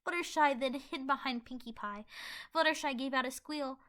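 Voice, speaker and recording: A woman's voice: sits high, moderate pace, a bit bright. A woman giving scripted storybook narration as the narrator of a fan fiction audio play.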